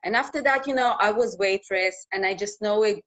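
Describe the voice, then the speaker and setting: A woman in her thirties, a news reporter from Russia, speaking English as a second language with a Russian accent, talking in a halting way with many fillers and restarts over a video call. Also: mid-range voice, fairly bright tone. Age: 30s. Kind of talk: casual interview conversation.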